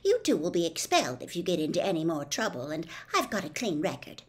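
A woman voicing a brisk, matter-of-fact, bossy schoolgirl plotter from a storybook. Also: on the low side, sing-song. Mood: brisk, determined.

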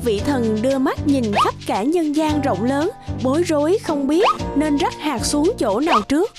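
A woman reading scripted narration for a children's animated fairy tale. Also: high voice, normal speed. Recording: loud music behind the voice.

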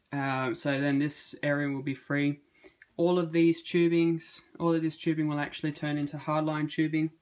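A man in his thirties giving a casual handheld walkthrough of a computer build at home, filled with ums and uhs, recorded on a phone camera. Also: mid-range voice. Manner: hesitant, many ums and uhs. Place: home room indoors. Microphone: phone camera held in hand.

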